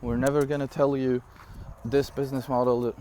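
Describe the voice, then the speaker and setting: A man in his twenties from Syria, for whom English is not his first language, giving an energetic, rambling business pitch straight to camera with frequent fillers and laughs. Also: normal speed, slight background noise. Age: 20s. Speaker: online business coach. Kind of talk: casual direct-to-camera talk.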